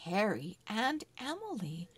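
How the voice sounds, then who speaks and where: A woman storyteller reading a children's story aloud in a deliberate, word-by-word read-along style for early readers. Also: medium pitch, wildly swooping pitch, husky.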